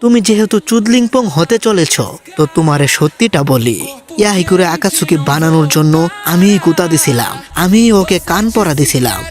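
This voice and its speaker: Man acting a scheming old villain over loud music. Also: high-pitched, expressive, brisk. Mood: boastful, mocking. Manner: teasing, menacing, boastful. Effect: voice changer. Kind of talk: comic amateur anime parody dub.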